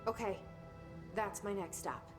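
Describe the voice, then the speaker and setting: A woman playing a guarded, determined young searching for answers in a video game, speaking in a measured, introspective way. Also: medium pitch, close to an everyday voice. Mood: guarded, direct. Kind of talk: video game cutscene acting, introspective inner monologue and dialogue. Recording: loud game music under the voice.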